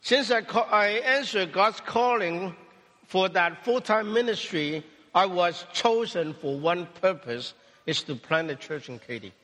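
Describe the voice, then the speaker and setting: A man preaching a sermon testimony in short phrases, pausing for an interpreter. His first language is Cantonese. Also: high-pitched, animated, fairly bright tone, big-room sound. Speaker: pastor.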